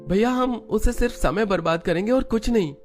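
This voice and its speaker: A man's voice: high voice, brisk, a little mellow, voice pushed up, lightly acted. A man voicing a skeptical, complaining grown son in a family cartoon. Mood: dismissive complaint. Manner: complaining, dismissive.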